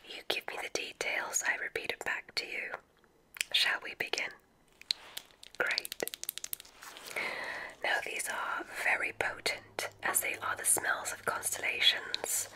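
A woman whispering an ASMR roleplay as a gentle witch examiner, guiding deliberately with soft, encouraging praise. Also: rough recording.

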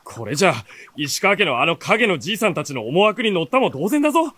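An actor playing an earnest, caring young warrior companion with a husky voice in a radio drama. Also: male voice, sits high, big pitch swings, fast, bright, forceful tone, heavily acted. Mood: concerned. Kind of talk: Japanese radio drama acting.

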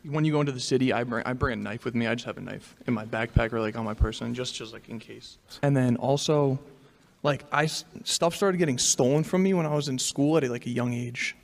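Young male student from New York, gravelly voice, loose rambling talk. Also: mid-range voice, fast talker. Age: young adult. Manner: rambling, heavy filler use. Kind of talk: casual class discussion. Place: large lecture hall. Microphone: handheld mic.

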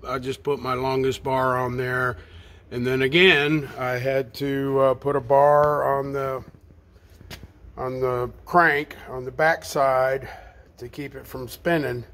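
A man talks through his work in a home garage at an unhurried pace, pausing often with fillers as he goes. His voice sits in a middle pitch. He stays off-screen, speaking to a handheld camera. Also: flat delivery, fairly bright tone. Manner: slow, halting, with frequent fillers. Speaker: home mechanic vlogger. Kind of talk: casual narration.

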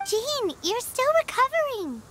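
A woman voicing a tiny, childlike fairy companion in a fantasy video game, squeaky, earnest and outspoken. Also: big pitch swings, measured pace, bright, forceful tone, a little hiss or hum, exaggerated voice. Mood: concerned. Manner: speaks of herself in third person, earnest.

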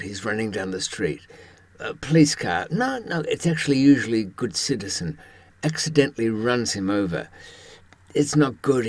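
A man in his seventies with a husky voice, presenting a conversational monologue in a wry storytelling manner with rhetorical questions. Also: fairly low pitch, darkish tone. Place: indoors, in front of a green screen.

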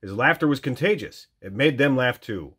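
A man narrates a children's storybook. His mid-pitched voice is husky and moves in animated rises and falls, as a storytime reader. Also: normal speed.

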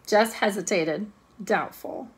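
A woman in her thirties reading a story aloud expressively like a teacher, pausing for short teaching asides. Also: medium pitch, husky. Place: small indoor room with classroom alphabet wall strip. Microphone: phone at arm's length.

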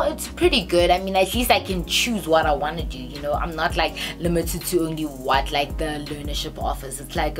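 A woman in her twenties with a South African accent tells a story casually to camera, chatty and halting, sometimes reading aloud. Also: fairly low pitch. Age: 20s. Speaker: storytime vlogger. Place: small bedroom, indoors. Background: background music.

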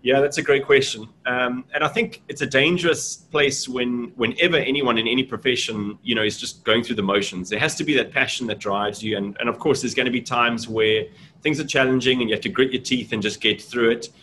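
A man's voice: medium pitch, fairly even intonation, fairly quick, sharp tone, slight background noise. Earnest man from South Africa. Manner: earnest, reflective, lots of fillers. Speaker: guest, school deputy principal. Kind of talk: casual interview chat. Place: indoors, small room, video call. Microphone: video call webcam.

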